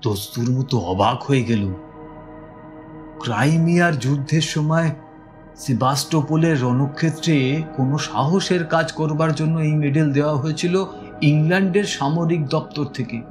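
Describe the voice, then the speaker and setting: A man's voice: on the high side, moderate pace, darkish tone, natural voice. Man as a schoolteacher telling an eerie tale. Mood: intrigued. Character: male village schoolteacher recounting his eerie experience. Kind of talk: dramatised first-person audio story narration. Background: music.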